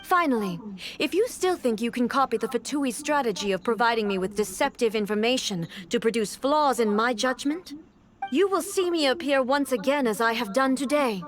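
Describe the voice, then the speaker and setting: A stern, regal female ruler, acted by a woman for a video game, speaks in a fairly high voice. She is formal and measured, composed and used to command. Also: lively intonation, close to an everyday voice.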